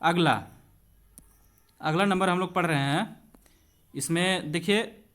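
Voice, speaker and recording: A high-voiced man gives an exam-prep physics lesson, working through questions step by step. He talks to students conversationally, puts rhetorical questions to them and drops English technical terms into his explanations. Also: slow pace, a bit bright. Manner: switches between Hindi and English terms. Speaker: physics teacher.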